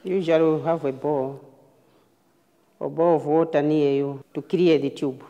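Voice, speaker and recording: A woman nurse giving a hands-on clinical demonstration, explaining phrase by phrase in a halting way. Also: low voice, fairly even intonation, mellow, muffled recording. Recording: reverberant room, long echo.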